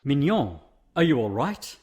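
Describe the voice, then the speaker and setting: A man playing a serious private investigator in a radio mystery play, speaking in a grave, deliberate way. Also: medium pitch, sing-song, a little mellow, husky. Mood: concerned. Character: serious male private investigator narrating a murder case.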